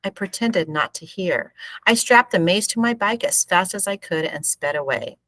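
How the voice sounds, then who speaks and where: Low-pitched woman reading a story aloud. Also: expressive, moderate pace, fairly bright tone, dry recording, rough recording. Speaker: teacher reading a book aloud. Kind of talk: storybook read-aloud, expressive narration.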